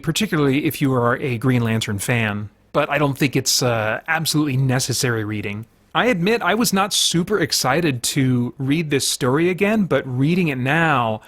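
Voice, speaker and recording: A man hosting a review show, talking in a casual, opinionated way with earnest, halting delivery and emphasis on key words. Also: mid-range voice, fairly bright tone. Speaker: host of a comics review series.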